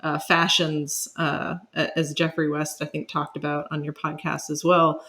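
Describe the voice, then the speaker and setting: A woman speaking as an expert guest in an interview, explaining in a measured, academic way and qualifying her points. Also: sits low, flat delivery, a bit bright. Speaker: podcast guest, city planning expert.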